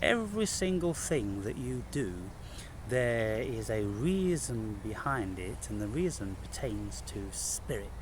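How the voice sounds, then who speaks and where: Male spiritual vlogger, unhurried and deliberate with emphatic pauses, recorded with noticeable ambient noise. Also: medium pitch, sing-song. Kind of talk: unscripted spiritual monologue to camera.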